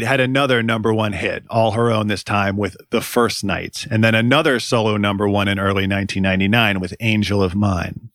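Wry, low-voiced male podcast host. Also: no room sound. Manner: dry, wry and deadpan. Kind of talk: scripted music commentary.

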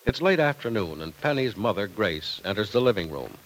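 Lively, emphatic old-time American radio announcer. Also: male voice, on the low side, fairly quick, a little hiss or hum, poor audio. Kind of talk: 1950s radio sponsor pitch and scripted narration.

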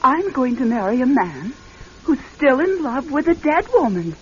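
An American woman playing an earnest, composed bride-to-be in a radio drama, polite and gently persistent. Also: high-pitched, big pitch swings, normal speed, slight background noise. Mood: anxious, insistent. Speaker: actress in a radio play. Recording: dull lo-fi radio recording.

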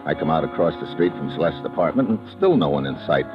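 An actor playing a tough, wisecracking American investigator in an old radio adventure, speaking in a wry, clipped, hardboiled way. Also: male voice, very deep voice, big pitch swings, fast talker. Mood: tense, hardboiled. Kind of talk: 1950s radio adventure acting and first-person narration. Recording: muffled vintage radio recording with music.

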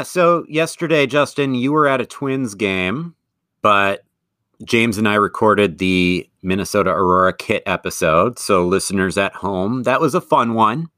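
A man co-hosting a sports podcast, talking in an easy chatty way and quick to laugh at himself. His voice sits a little low. The recording is dry and close, with no room sound. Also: sharp tone, no echo. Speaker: podcast co-host. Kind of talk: casual sports podcast chat.

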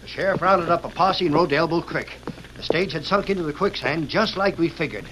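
An actor playing a smooth-lying cowpuncher outlaw with folksy cowboy grammar in an old-time radio western. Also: male voice, on the high side, a little fast, natural voice. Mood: sly, satisfied. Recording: noisy vintage radio recording.

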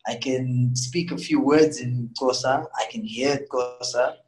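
A man's voice: mid-range voice, steady tone, unhurried, a little mellow, poor audio. Zimbabwean man answering casually in an interview. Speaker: interview guest, musician.

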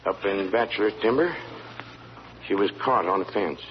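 An actor playing a loyal, soothing, folksy country neighbor with a rural American accent, in an old-time radio drama. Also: male voice, on the low side, normal speed, close to an everyday voice. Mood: calming. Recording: dull, noisy old radio recording.

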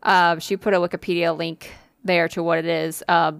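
A woman's voice: lowish voice, little pitch movement, normal speed, vocal fry, clean tone, no room sound, clean studio sound. A woman casually reading a spooky listener story aloud on a podcast, with fillers and asides. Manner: reads with occasional fillers and asides.

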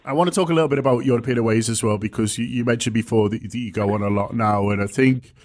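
A man's voice: mid-range voice, quick pace, darkish tone. A young adult man from Liverpool, hosting a podcast and asking thoughtful questions hedged with "sort of" and "kind of". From Liverpool, England. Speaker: podcast host. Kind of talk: interview questions.

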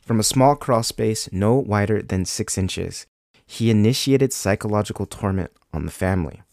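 A man's voice: moderate pace, vocal fry, a little mellow, no room sound, clean studio sound. Young man hosting, a little sick. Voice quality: deeper than usual from illness. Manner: casual, with ums and likes. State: sick with a cold. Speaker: podcast host. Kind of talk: true crime podcast narration.

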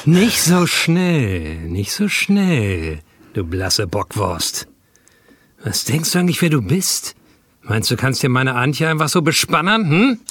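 Guy playing a jealous sneering thug, comedy radio play, drawls his threats, pitch all over the place. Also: big pitch swings, fairly bright tone, husky, heavily acted. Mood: menacing. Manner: sneering, drawn-out threats and cutesy pet names. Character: menacing, jealous male crook with a carpet knife. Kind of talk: comic radio play acting.

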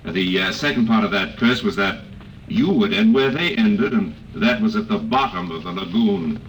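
An actor playing a nervous, halting male doctor of science in a classic radio drama. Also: fairly low pitch, animated, natural voice. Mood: uneasy. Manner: hesitant, halting. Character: uneasy, hesitant bomb-disposal scientist. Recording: noisy, distant old radio drama recording.

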